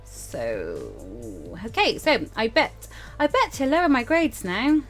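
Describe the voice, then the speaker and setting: Lively woman playing through a story game. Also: on the high side, slow pace, soft background music. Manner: chatty, reacts in asides to the story. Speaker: let's player reading a visual novel aloud. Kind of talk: casual playthrough commentary with read-aloud dialogue.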